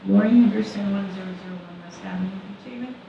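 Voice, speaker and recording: A woman's voice: medium pitch, fast, creaky. Chatty woman at public comment, muffled and echoey. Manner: chatty, filler-heavy, animated. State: deaf in one ear. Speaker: resident commenting. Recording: dull echoey room audio, distant, noisy.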